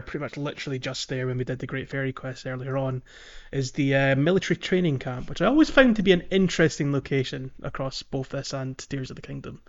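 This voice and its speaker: A man chats as a podcast co-host in a loose, unscripted style. His delivery is hesitant, as if he works out each thought while speaking, at a middling pitch. The recording is dull and a little lo-fi. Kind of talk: casual video game playthrough chat.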